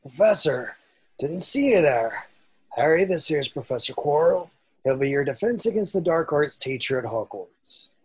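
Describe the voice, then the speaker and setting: A man playing a gruff, kindly giant with folksy rustic phrasing in a screenplay table read. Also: a little high, animated, normal speed, dry recording. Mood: hearty.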